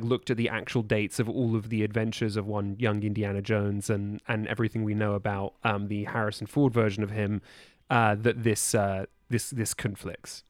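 A man's voice: on the low side, no room sound, studio quality. A man speaking as a podcast guest in casual chat, good-humoured and rambling, often hesitating as he works through a thought.

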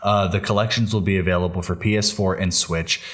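A man's voice: deep voice, fairly even intonation, a little fast, a bit bright. A man co-hosting a podcast in casual chat, talking in a rambling, hesitant way. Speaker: podcast co-host. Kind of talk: casual chat about Star Wars games and shows.

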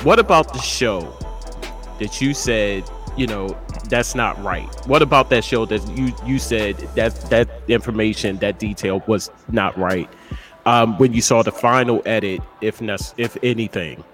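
A man's voice: lowish voice, measured pace, fairly bright tone, faint music behind. A man co-hosting a casual chat show, asking the guest questions in an easygoing way. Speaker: podcast co-host.

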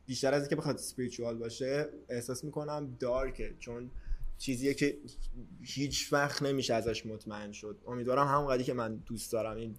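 A man's voice: mid-range voice, steady tone. A man, talking as a podcast host in casual, slangy speech sprinkled with English words. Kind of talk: casual conversational podcast chat.

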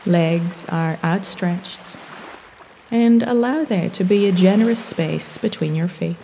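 A woman leading a guided meditation in a calm, gentle, soothing and measured manner. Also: fairly low pitch, creaky, a little hiss or hum. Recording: long reverb, background wash of waves. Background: relaxing ocean waves.

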